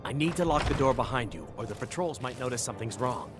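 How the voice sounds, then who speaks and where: A man's voice: mid-range voice, animated, fast, music in the background, lightly acted. An actor playing a wary, curt servant in a game who gives clipped, urgent orders as he helps an infiltrator. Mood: terse.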